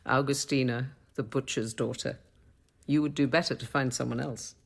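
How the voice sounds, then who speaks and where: An actress playing a patient, devout middle-aged nun and apothecary in a radio drama, speaking in a measured, gently instructive way. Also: female voice, extremely low pitch, fairly even intonation, vocal fry, husky, exaggerated voice. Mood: gentle, measured.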